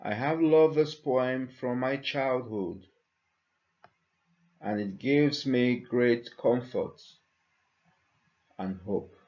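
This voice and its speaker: A man reciting poetry slowly and deliberately, with measured pauses between phrases. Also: medium pitch, highs cut off. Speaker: church member reading a poem.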